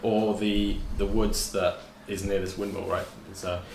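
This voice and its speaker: A man's voice: fairly low pitch, little pitch movement, measured pace, a little mellow. A British man chatting casually as a player in a tabletop roleplaying game. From Britain. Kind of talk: casual chat. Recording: echoey, distant mic, faint noise.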